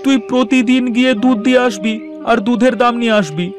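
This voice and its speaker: Guy doing cartoon village lackey, high voice, wheedly haggler, music under it. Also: fast. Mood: haggling, protesting. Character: village landlord's errand man, wheedling and haggling. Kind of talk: Bengali moral cartoon voice acting.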